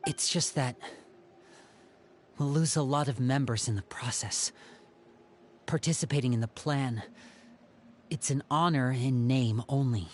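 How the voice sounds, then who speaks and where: A man playing a composed young brother and protective leader in a video game, speaking in a measured, serious way. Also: medium pitch, a little hiss or hum, lightly acted.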